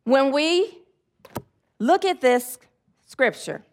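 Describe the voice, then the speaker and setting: A woman with a high voice delivers a sermon in a chatty, loosely rambling way. She drifts into side remarks and then leads the room in call-and-response affirmations. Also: lively intonation, very slow. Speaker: preacher.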